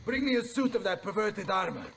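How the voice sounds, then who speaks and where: A proud, hot-headed Italian captain from a video game. His voice is pitched very high, forceful and swinging, with theatrical bluster and Italian words thrown in. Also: expressive, fairly quick, creaky, a little hiss or hum, full character voice. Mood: gruff, commanding. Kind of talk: dramatic video game cutscene acting.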